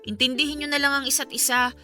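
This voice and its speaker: A woman's voice: high-pitched, flat delivery, fast talker, sharp tone, soft background music. A woman acting a supportive young friend in a Filipino radio drama, consoling and advising conversationally with a Filipino accent.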